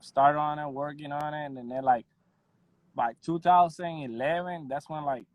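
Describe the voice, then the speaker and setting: A man in his thirties from Puerto Rico, a guest audio engineer chatting in a relaxed, modest way with soft laughs and lots of "you know". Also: fairly high pitch, normal speed, no room sound. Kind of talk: casual interview chat.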